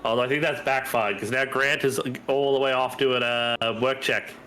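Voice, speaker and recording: A man's voice: mid-range voice, steady tone, moderate pace, sharp tone, soft background music. A man with a stuffy nose from hay fever, chatting casually on a live stream and thinking aloud as he browses. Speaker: stream co-host.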